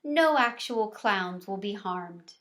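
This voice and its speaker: A woman's voice: mid-range voice, expressive, takes it slowly, a bit bright. A woman voicing a kindly, practical female primary school teacher in a children's storybook, patiently explaining. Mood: patient, amused.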